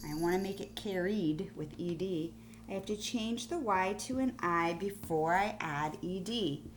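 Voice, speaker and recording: A woman schoolteacher giving a phonics lesson to young pupils on video, explaining deliberately and spelling things out. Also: lowish voice, a little hiss or hum. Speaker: primary school teacher.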